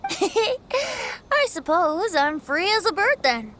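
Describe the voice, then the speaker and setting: High, breezy boy bard. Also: sing-song, drawn-out words, a bit bright, slight background noise, full character voice. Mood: playful. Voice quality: light, boyish. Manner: whimsical, breezy storytelling. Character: cheerful, carefree young male bard, fond of wine. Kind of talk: video game voice acting.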